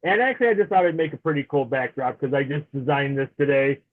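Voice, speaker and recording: A man in his late forties with a mid-range voice chats as a podcast guest, friendly and quick to laugh. His voice comes through a tinny, phone-like remote line. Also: medium pitch. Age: 40s. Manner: chatty and friendly, laughs easily. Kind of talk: casual interview chat.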